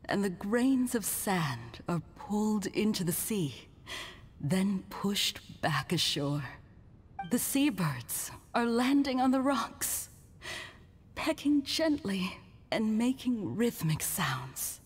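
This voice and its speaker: A woman playing a serene, gentle young woman in a video game, speaking softly and poetically with a breathy voice. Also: medium pitch, sing-song, slow, full character voice. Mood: dreamy, hushed. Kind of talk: video game character acting. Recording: long reverb.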